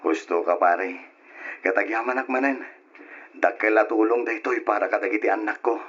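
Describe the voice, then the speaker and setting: An actor with an Ilocano accent playing a humble, hardworking father, husky and earnest, in a radio drama. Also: lowish voice, moderate pace, fairly bright tone. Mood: modest, friendly. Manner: earnest, grateful. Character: humble, hardworking father working away in the city. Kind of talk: Ilocano old-time radio drama acting. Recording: dull lo-fi old radio drama recording.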